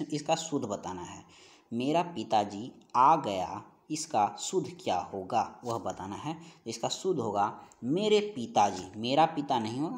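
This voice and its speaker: A man teaching an exam-prep grammar lesson, reading out questions and answers and repeating each one, slowing down on key phrases. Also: medium pitch.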